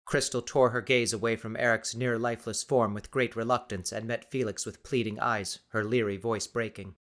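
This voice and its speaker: A man's voice: lowish voice, steady tone, normal speed, fairly bright tone. A man reading scripted, dramatic story narration as an audiobook narrator.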